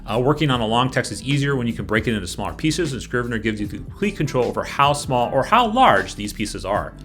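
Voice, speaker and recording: A man's voice: mid-range voice, very animated, quick pace, fairly bright tone. A man with a mid-range voice gives an informal product walkthrough. He explains things step by step and talks directly to the viewer, with music playing behind him. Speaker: presenter of a software review video. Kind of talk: casual product walkthrough over screen capture. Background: background music.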